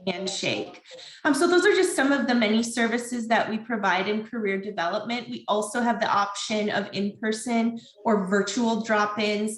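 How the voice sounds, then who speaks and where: A woman's voice: mid-range voice, reverberant, poor audio. A woman from a career center giving an informative, upbeat presentation over a video call. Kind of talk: online presentation.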